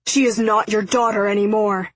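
A woman's voice: medium pitch, level tone, normal speed, dry recording, close to an everyday voice. A woman voicing a stern, tormented young man who makes formal, menacing declarations in an audiobook story. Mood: stern anger. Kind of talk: audiobook character acting.

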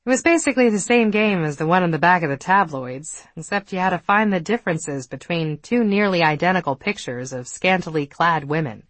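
A woman's voice: on the low side, animated, a little fast, hardly any pauses, dry recording. A woman reading fiction as an audiobook narrator, in a scripted storytelling style.

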